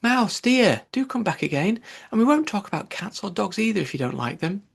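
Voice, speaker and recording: A man voicing a polite, chatty little girl in a storybook, earnest and quick to apologise. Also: high voice, very animated, creaky, breathy edge, pitched above the performer's own voice, exaggerated voice. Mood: coaxing.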